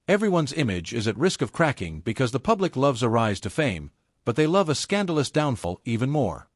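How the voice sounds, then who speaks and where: A man's voice: mid-range voice, very animated, a little fast, no echo, clean studio sound. A man reading scripted celebrity gossip narration in a dramatic, insinuating storytelling manner.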